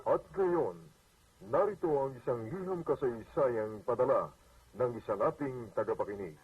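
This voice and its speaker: A man's voice: mid-range voice, normal speed. A Filipino man hosting a radio program, reading a scripted introduction to a drama. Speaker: radio program host-narrator. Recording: distant, phone-like AM radio playback. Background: music.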